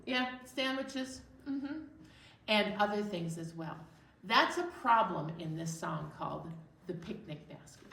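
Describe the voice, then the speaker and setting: A woman hosting a storytime for young children, talking in an exaggerated, animated way as if to little listeners. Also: fairly low pitch, measured pace, vocal fry. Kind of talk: read-aloud storytime presentation with songs. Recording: roomy echo, distant mic, faint noise.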